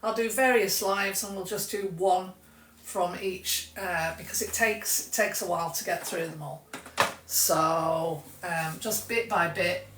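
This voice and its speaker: A woman from England with a softened Mancunian accent, teaching English in a live stream and chatting with viewers, often restarting her sentences. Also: fairly low pitch, fairly even intonation, measured pace, roomy sound, recorded from a distance, a little hiss or hum.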